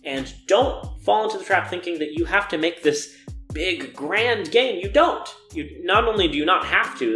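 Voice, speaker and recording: A man's voice: on the high side, fairly bright tone. A young man giving an informal advice lecture to camera in an earnest, emphatic way, repeating his intensifiers, recorded indoors in a living room. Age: young adult. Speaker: game jam organizer giving a keynote talk. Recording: roomy indoor echo.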